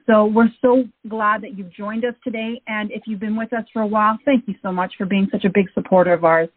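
A woman's voice: medium pitch, husky, no echo. A woman hosting an interview show, talking enthusiastically and effusively. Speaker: show host. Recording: phone-like narrow audio.

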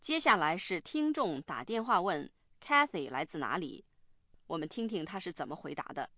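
A woman's voice: on the low side, sing-song, moderate pace, sharp tone, faint music behind. A woman presenting a language lesson in scripted instructional narration.

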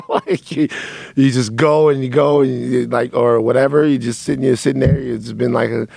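A man hosting a podcast, talking candidly in a loose, rambling way with a raspy voice from a dry throat. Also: mid-range voice, steady tone, moderate pace, a little mellow, dry recording. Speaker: podcast host. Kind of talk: casual monologue to listeners.

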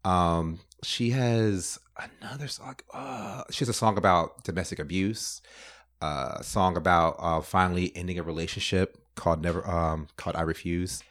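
A man's voice: low voice, steady tone, normal speed, fairly bright tone. A man in his thirties chatting casually as a podcast guest, laid-back, with frequent fillers and laughter. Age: 30s. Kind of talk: casual chat.

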